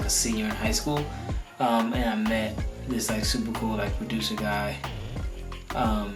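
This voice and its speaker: Laid-back young man, echoey room. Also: fairly low pitch. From Oklahoma, US. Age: young adult. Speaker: co-host, rapper. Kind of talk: casual couple chat.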